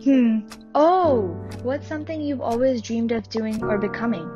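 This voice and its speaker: A woman playing a sober, measured authority figure in an amateur radio play. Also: fairly high pitch, close to an everyday voice. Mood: patient, questioning. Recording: muffled lo-fi, loud music behind.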